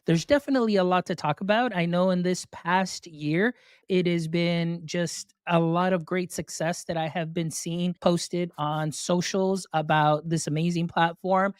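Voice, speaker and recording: A man's voice: high-pitched, fairly even intonation, measured pace, clear, resonant tone, dry recording. A man hosts his podcast in an upbeat, effusive way. He greets listeners and guests with lots of thanks. The recording is dry and close. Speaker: podcast host. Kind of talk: enthusiastic podcast interview.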